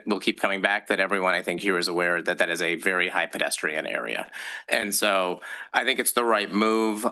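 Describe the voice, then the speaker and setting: A council member speaks at a public meeting, his voice low and notably bright. He thanks staff and lists his points one by one in a measured, orderly manner. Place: council chamber.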